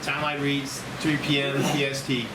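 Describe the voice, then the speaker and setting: A man's voice: mid-range voice, completely flat delivery, normal speed. Distant man reading screenplay directions in noisy room. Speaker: narrator reading stage directions. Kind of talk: screenplay table read, scripted narration. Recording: roomy, distant mic, loud room hum.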